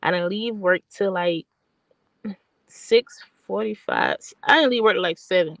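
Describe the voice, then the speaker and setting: Soft-spoken tired woman, stuffy. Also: medium pitch, unhurried, vocal fry, dry recording. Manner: soft-spoken, rambling with restarts. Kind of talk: casual late-night chat.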